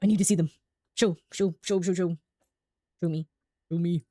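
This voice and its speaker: A woman's voice: on the low side, steady tone, normal speed, dark tone, no room sound, studio quality. A young woman streaming a game at home, chatting casually with viewers, laughing often and filling her talk with "like". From United Kingdom. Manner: chatty and giggly, many "like" fillers.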